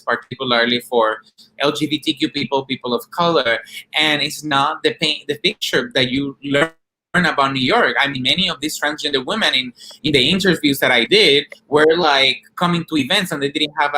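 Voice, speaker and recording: A man's voice: fairly high pitch, brisk, bright, forceful tone, low-quality recording. A man from Rico, answering earnestly as a documentary director and activist in an interview. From Puerto Rico.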